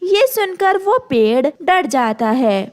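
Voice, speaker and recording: A woman's voice: high voice, sing-song, slow pace. A woman narrating a scripted children's story.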